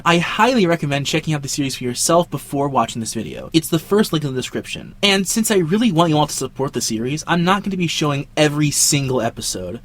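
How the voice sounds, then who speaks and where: A man's voice: a little high, fairly bright tone. A young man hosting a video essay with casual scripted narration and dramatic emphasis. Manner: dramatic emphasis with jokey asides. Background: background music.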